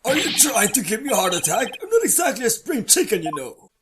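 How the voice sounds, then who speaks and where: A woman's voice: on the high side, sing-song, fast talker, noisy background. A woman voicing an elderly, easily flustered male judge in a game fan dub, with a pompous, old-fashioned, exclamatory way of speaking. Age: older. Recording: music under the voice. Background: music.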